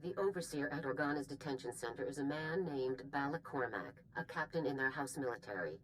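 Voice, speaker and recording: An actress playing a stern, brisk military general, giving a formal, authoritative mission briefing in a video game. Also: female voice, a bass voice, lively intonation, a little hiss or hum, rough recording. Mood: commanding. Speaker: commanding officer.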